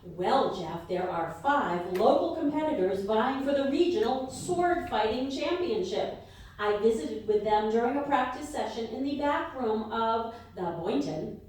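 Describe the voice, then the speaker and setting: A woman's voice: a little high, animated, a little slow, a little mellow. A woman co-hosting a live comedy radio show on stage, delivering scripted mock reports. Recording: echoey hall stage, distant mic.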